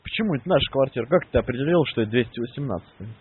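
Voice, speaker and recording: A man's voice: mid-range voice, animated, rapid-fire, husky, no room sound. A young man in his twenties making a prank phone call, needling with mock-innocent questions. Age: 20s. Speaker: prank caller. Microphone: telephone. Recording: tinny phone line.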